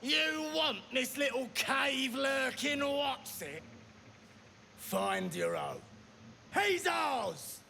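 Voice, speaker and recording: An actor playing a brutish male goblin raider who jeers and barks orders, with a gravelly, bright voice. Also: extremely high pitch, expressive, drawn-out words, full character voice. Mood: jeering. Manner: shouting, jeering. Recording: faint background noise.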